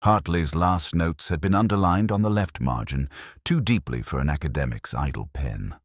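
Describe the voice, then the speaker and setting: A man narrating a scripted first-person detective story in a measured, literary, brooding way. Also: extremely low pitch, lively intonation.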